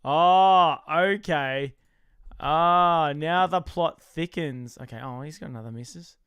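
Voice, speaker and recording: Loud jokey Australian man, smooth voice. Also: fairly high pitch, takes it slowly, no echo. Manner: loud, jokey, excitable, trash-talking. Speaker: podcast co-host. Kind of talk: casual reality-TV recap chat.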